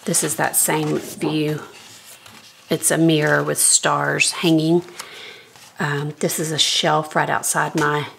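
Scratchy low-voiced woman touring her sketchbook. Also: takes it slowly, gravelly. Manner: relaxed, thinking aloud while flipping pages. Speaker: artist giving a sketchbook tour. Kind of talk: casual sketchbook walkthrough. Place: home art studio, indoors. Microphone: overhead camera, speaker off-frame.

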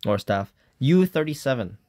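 A woman hosting a casual chat, rating game characters out loud, hesitant, with many fillers and restarts. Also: very deep voice, animated, normal speed. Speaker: host.

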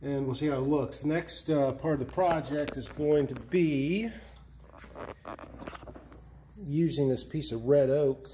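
A middle-aged man talks casually through a hands-on DIY job. His voice is relaxed and dark, and his delivery is slow and halting. A faint-to-clear bed of garage noise sits behind him. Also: on the high side. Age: 50s. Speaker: DIY hobbyist host. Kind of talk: casual hands-on workshop narration. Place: indoor garage workshop.

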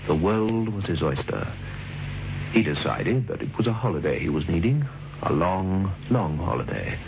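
An actor playing a wry, insinuating storyteller, narrating an old radio drama. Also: male voice, deep voice, big pitch swings, moderate pace, creaky, noisy background, heavily acted. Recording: dull old radio recording, music behind.

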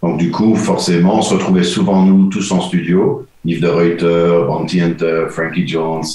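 A man in his forties, a DJ guest speaking in a relaxed, halting way, repeating words as he searches for them, on a video call from a home studio. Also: low-pitched. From Belgium. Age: 40s. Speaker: DJ and producer guest. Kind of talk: casual interview on a video call. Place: home music studio, indoors. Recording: roomy video call audio.

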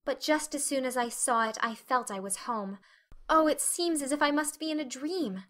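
A woman voicing a dreamy, chatty, excitable eleven-year-old girl in a dramatic audiobook, effusive and breathless in manner. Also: sits high, moderate pace, voice pushed up. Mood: rapturous. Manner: effusive, breathless, wide-eyed.

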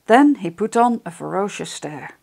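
A middle-aged woman reading a picture book to young children, expressive and deliberate, addressing them directly. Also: mid-range voice, vocal fry, slightly rough. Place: small wood-panelled room indoors. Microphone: lapel microphone.

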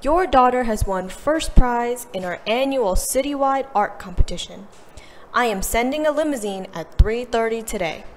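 A woman's voice: on the high side, expressive, normal speed, in a large hall, slight background noise, pitched above the performer's own voice, close to an everyday voice. Woman playing a formal, proclaiming city mayor. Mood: proud, announcing. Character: formal, self-important city mayor announcing good news.

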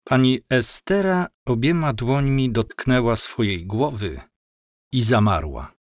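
A man's voice: medium pitch, lively intonation, measured pace, no echo, clean studio sound. A man reading scripted story narration as the narrator of an audio drama.

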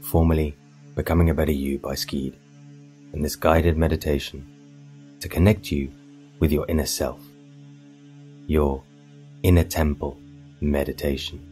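A man narrating a scripted guided meditation in a soothing, hypnotic, measured way with long pauses. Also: a bass voice, fairly even intonation. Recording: voice over meditation music. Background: soft meditation music.

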